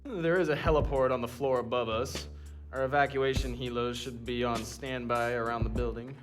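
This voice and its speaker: A man's voice: mid-range voice, fairly even intonation, moderate pace, faint music behind, close to an everyday voice. A man playing an impatient, coolly arrogant genius doctor who speaks with dry, pompous precision in an audio drama.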